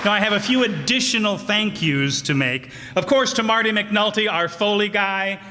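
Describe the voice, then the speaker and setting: A man's voice: sits high, moderate pace. A man hosting a live show, casually and appreciatively reading out cast credits and thanks. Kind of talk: casual live curtain-call announcements. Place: town hall, live before an audience. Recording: echoey hall, loud crowd and noise. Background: loud crowd.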